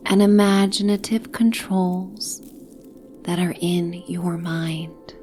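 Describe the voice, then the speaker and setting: A woman with a soft, breathy, near-whispered voice gently narrating a soothing guided sleep meditation and bedtime story. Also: on the low side. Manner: gentle, soothing, hypnotic, with long pauses.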